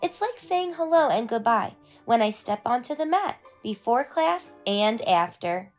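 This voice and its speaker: A woman's voice: fairly high pitch, sing-song, a little slow, soft background music. A woman voicing an eager, earnest young boy in a children's storybook read-aloud. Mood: excited, earnest. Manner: eager, proud, explaining earnestly. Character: excitable, earnest young boy learning martial arts.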